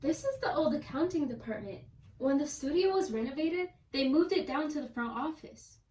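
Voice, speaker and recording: A woman's voice: high-pitched, lively intonation, normal speed, a little mellow, poor audio. A woman playing a practical, questioning studio worker in a radio play. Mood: concerned.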